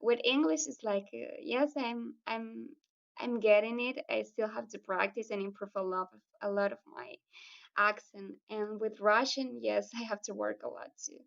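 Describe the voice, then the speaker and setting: A woman's voice: fairly high pitch, steady tone, moderate pace, vocal fry. A woman who tutors children, talking at length in a casual video call, earnest and restarting her phrases. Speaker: student and children's language tutor. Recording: distant muffled video-call audio.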